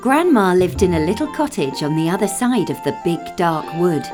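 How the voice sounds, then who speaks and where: A woman narrating a children's fairytale from a script as a storyteller, expressive and deliberate. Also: fairly low pitch. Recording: music under the voice.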